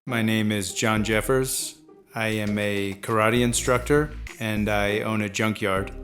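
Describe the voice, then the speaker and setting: Slightly low-voiced man, hesitant interviewee. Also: fairly even intonation, a little slow, fairly bright tone, soft background music. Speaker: voice actor, interviewee. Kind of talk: casual interview answers.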